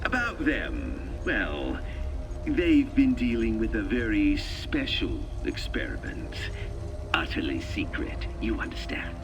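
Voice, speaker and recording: A man's voice: lowish voice, big pitch swings, slow pace, exaggerated voice. An actor voicing a pompous, evasive male lecturer in a video game, speaking in a formal, instructing manner. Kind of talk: scripted video game dialogue. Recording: music behind the voice. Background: game music.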